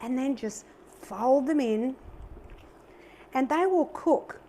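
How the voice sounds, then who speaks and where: A middle-aged woman with an Australian accent, hosting a live cooking demonstration. Her voice sits high and she talks in a bubbly, chatty, upbeat way, full of little exclamations as she cooks. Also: slow, dark tone, slight background noise. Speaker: cooking show host.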